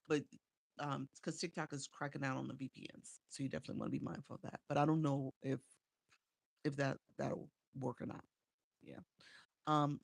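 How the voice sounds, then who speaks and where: A woman's voice: low voice, gravelly, dry recording. A woman from Chicago coaching live streamers in a casual livestream chat. She reads viewer comments aloud and answers them, with frequent "um"s, on a small desk microphone at home.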